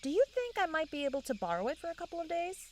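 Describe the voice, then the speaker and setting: High, animated, sweet-talking young woman actress. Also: racing, background noise. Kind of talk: comedic fantasy audio drama acting.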